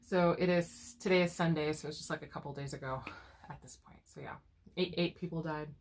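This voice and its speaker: A woman's voice: low voice, fairly even intonation, a little fast, a little hiss or hum. A woman co-hosting a casual couple chat, talking through a lingering cough with a cough drop in her mouth, laughing often and restarting her sentences. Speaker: co-host of couple chat channel.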